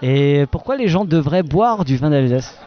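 A man's voice: on the high side, expressive, moderate pace, highs cut off. A man roaming an outdoor wine festival, playfully teasing people as he interviews them. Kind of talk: casual street interviews.

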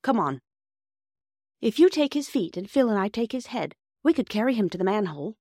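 A woman's voice: mid-range voice, sing-song, brisk, a little mellow, dry recording, clean studio sound, pitched above the performer's own voice. A woman voicing a proud, bossy young boy in a storybook, firm and declarative. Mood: urgent.

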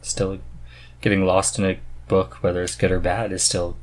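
A man hosting a podcast in a casual, informal chat, thinking aloud in halting phrases. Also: low voice, level tone, rough recording. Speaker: podcast host. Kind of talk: casual conversational chat about hobbies.

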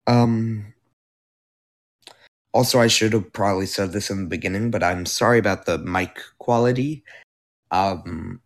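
Young male podcaster with a lowish voice, slow delivery, strained from losing his voice, chatty and self-correcting. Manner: rambling, self-correcting, with filler words. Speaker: podcast host. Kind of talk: casual solo podcast chat. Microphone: new microphone being tested.

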